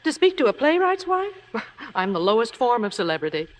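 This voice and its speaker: An actress playing a sensible, refined playwright's wife in a 1950s radio drama, with polished theatrical delivery. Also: female voice, fairly high pitch, sing-song, brisk. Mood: warm, curious. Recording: slightly noisy old radio recording.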